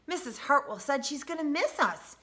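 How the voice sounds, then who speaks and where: Lady reading as an earnest little school kid in a storybook, eager, bright and higher up. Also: sing-song, moderate pace, heavily acted. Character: eager young schoolchildren in a picture book.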